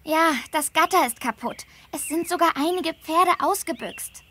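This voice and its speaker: A woman voicing a friendly, eager teenage girl on holiday in a children's game, earnest and clearly spoken. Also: extremely high pitch, normal speed, a bit bright, a little hiss or hum. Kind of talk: scripted children's game dialogue.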